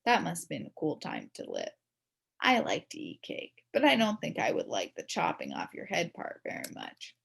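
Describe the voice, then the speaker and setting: A woman reading a children's story aloud in the first person as a kid, expressively, with dramatic emphasis and drawn-out words. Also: lowish voice, huge pitch swings, vocal fry, breathy edge. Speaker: storybook reader.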